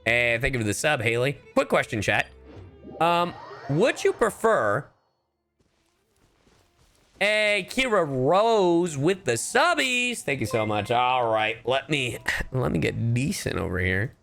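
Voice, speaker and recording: A man livestreaming a game, chatting casually and goofily with his audience in an animated way. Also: mid-range voice, normal speed, vocal fry, faint music behind. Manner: animated, goofy, addresses chat directly. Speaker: livestreamer, VTuber. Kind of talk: casual livestream chat while gaming.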